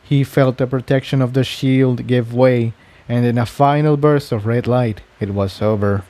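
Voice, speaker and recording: A man's voice: medium pitch, fairly even intonation, normal speed, dark tone. A man reading fan fiction aloud as scripted story narration.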